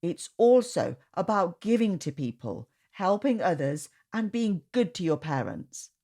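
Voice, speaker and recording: Woman doing a calm, gentle dad. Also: high voice, a little slow, exaggerated voice. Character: kind patient father teaching his children.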